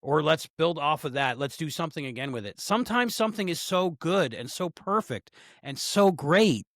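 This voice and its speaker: A man's voice: fairly high pitch, expressive, normal speed, a bit bright, dry recording. Man chatting casually with a dry close sound. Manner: hesitant, repeats words, emphatic opinions. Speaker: podcast co-host. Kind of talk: casual podcast chat.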